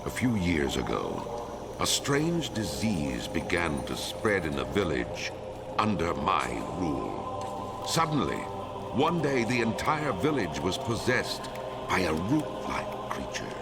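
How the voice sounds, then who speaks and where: Grave ruler of plague-struck lands, low voice, sweeping intonation, theatrical pauses, game cutscene, loud music behind. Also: male voice, wildly swooping pitch, vocal fry, full character voice. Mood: ominous, storytelling. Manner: measured, theatrical storytelling with dramatic pauses. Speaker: lord explaining a quest. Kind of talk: dramatic video game cutscene acting.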